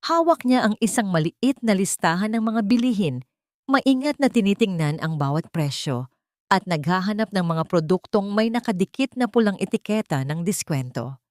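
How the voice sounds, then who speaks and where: A woman narrating a scripted dramatic story in a measured, literary manner. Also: medium pitch, animated, no echo, studio quality.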